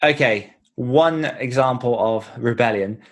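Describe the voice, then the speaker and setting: A man's voice: mid-range voice, unhurried. British man chatting casually on a podcast. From Britain. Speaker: podcast host and author, being interviewed on own show.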